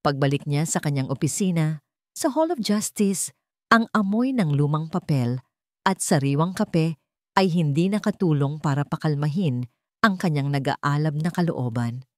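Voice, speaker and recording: Low-pitched woman narrating drama, dry sound. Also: animated, moderate pace, dry recording. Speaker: storyteller.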